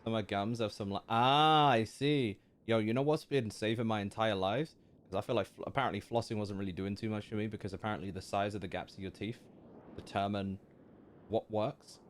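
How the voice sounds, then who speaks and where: A man's voice: lowish voice, steady tone, brisk, slight background noise. A man chatting casually and informally as a streamer reacting to a game, using lots of slang, with music behind. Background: faint game music.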